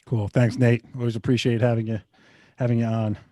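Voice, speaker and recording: A man's voice: medium pitch, level tone, brisk, mellow, no room sound, studio quality. A man hosting a live show, talking loosely with lots of fillers. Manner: loose, filler-heavy, friendly. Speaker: host.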